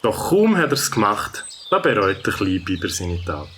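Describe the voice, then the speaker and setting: A man with a Swiss German accent narrating a children's story in an expressive storytelling way. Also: lowish voice, moderate pace, a little hiss or hum.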